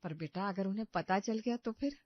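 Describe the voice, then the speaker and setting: A woman's voice: mid-range voice, brisk, natural voice. Woman as a fretful older cartoon mother. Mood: worried. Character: worried, homely older mother of grown children. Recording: muffled dry studio recording.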